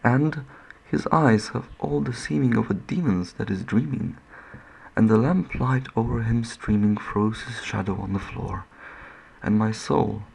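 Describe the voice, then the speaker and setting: Slow, gravelly man reciting Gothic poetry. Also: lowish voice, darkish tone, poor audio. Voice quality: gravelly, husky. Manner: brooding, theatrical, with long pauses and drawn-out words. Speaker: amateur poetry reader.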